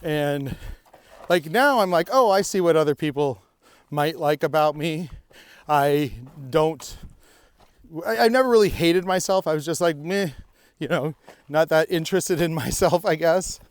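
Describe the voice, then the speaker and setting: A man's voice: a little high, measured pace, smooth, dry recording. A man co-hosting a podcast, chatting casually and rambling a little as he walks an outdoor mountain trail. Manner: chatty, rambling, laughs often. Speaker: podcast co-host. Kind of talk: casual chat on a hike.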